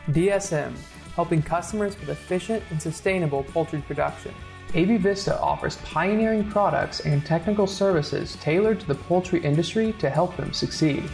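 Gravelly promo announcer, polished read, faint guitar bed behind. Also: mid-range voice, normal speed. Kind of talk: scripted promo voice-over. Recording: voice over background guitar music.

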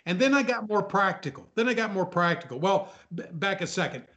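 A man in his sixties with a slightly high voice speaks as a guest in a casual chat. He reminisces conversationally and tends to repeat himself for emphasis. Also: lively intonation, brisk, a bit bright. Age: 60s. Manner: chuckles, repeats phrases for emphasis. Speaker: talk show guest.

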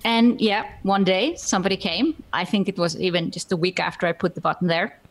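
A woman's voice: lowish voice, a little fast. A middle-aged woman from Iceland speaking English with an Icelandic accent. She is a guest on a podcast interview, telling her story in a plain, matter-of-fact way. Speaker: guest entrepreneur.

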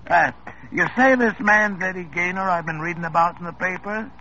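An actor playing a smooth-talking used car dealer with folksy sales patter. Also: male voice, high voice, fairly quick, sharp tone. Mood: salesy. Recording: muffled old radio recording with faint hiss.

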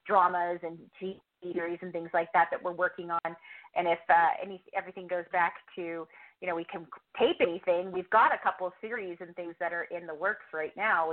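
A woman speaks casually as a guest in an interview, in a medium-pitched voice. She sounds like a podcast producer explaining her work. The audio is narrow and muffled, like a call.

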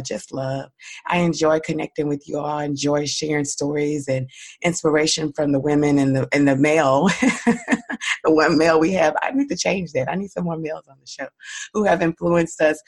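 A woman hosting her own podcast alone, her voice raspy and hoarse from a cold, talking in an upbeat, encouraging way straight to her listeners. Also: low-pitched. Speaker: podcast host. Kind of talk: solo podcast monologue.

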